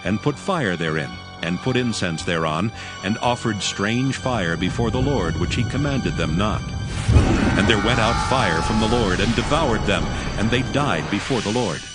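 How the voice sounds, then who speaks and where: A man narrating scripture from a script in a solemn, measured reading. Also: fairly low pitch, animated. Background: background music.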